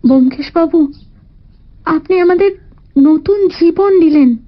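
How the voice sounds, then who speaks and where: A grateful young woman in an audio story. Her voice is extremely high and choked with tears. The sound is a bit dull. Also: unhurried. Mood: tearful gratitude. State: choked with emotion.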